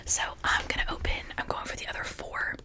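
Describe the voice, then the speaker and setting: A woman speaking in a breathy whisper, rambling informally in a chatty ASMR style. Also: fairly quick. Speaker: ASMR creator showing and unboxing toys.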